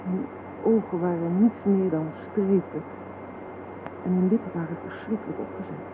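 A woman acting a sombre survivor narrating in a radio drama, her voice on the low side and measured. The delivery is grave and literary, on a thin, noisy old recording. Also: narrow, tinny audio, natural voice. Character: grave female survivor recounting a bombing disaster.

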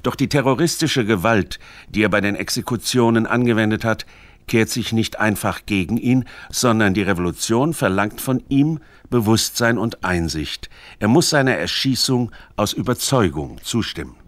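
A man reading scripted radio feature narration in a measured, precise and formal manner. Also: lowish voice, expressive, dry recording. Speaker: documentary narrator. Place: dry studio.

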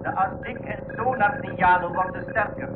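An actor playing a stern naval radio announcer, giving formal alerts as a radio transmission in a drama. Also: male voice, high voice, moderate pace, noisy background. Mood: stern, official. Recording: dull radio sound over loud music.

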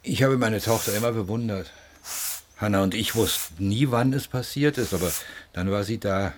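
A middle-aged man played by an actor reflects as a bereaved father in a radio drama. His voice is deep, his manner halting, plain and resigned. Faint outdoor ambience with birdsong sits behind him. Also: a little hiss or hum, lightly acted. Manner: halting, musing, plain-spoken. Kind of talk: interview-like monologue in a radio play.

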